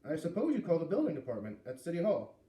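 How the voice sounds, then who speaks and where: A man voicing a calm, middle-aged father in a read-aloud story, measured and inquiring. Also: a little high, voice pushed up. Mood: helpful. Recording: roomy, distant mic with light noise.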